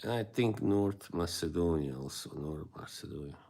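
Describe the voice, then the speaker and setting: Man, very low voice, rambling live-stream chatter, frequent chuckles. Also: lively intonation, moderate pace, vocal fry, mellow, husky. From Bosnia and Herzegovina. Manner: rambling and hesitant, chuckling often. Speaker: livestream host. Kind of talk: casual live-stream chat, replying to viewers.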